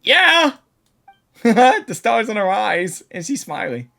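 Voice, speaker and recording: A woman's voice: medium pitch, big pitch swings, slow, creaky, pushed and bright. A woman streamer giving casual live reactions as she plays a game. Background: game music.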